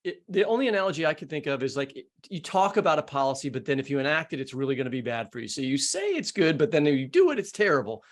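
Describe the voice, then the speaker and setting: A man's voice: fairly high pitch, very animated, rapid-fire, hardly any pauses. A man co-hosting a podcast, giving opinions in casual, informal short bursts. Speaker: podcast host.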